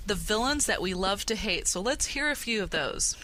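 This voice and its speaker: A woman's voice: mid-range voice, animated, moderate pace, music in the background, rough recording. A woman hosting and joining in a casual podcast panel discussion.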